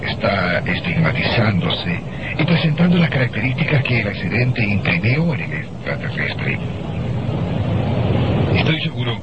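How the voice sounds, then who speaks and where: An earnest naval lieutenant with a lively, swooping delivery. He explains insistently in old radio drama style, on a dull recording thick with noise. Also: lowish voice. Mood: insistent, excited. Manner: emphatic, explanatory, halting when excited. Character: earnest male navy lieutenant, insistent theorist of the uncanny.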